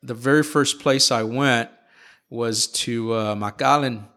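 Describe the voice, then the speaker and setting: A middle-aged man talking through his flight training in a casual interview. He explains things patiently and in a relaxed way, pausing on "uh" and "um" and restarting his sentences as he goes. His voice sits at an ordinary middle pitch. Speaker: airline captain guest.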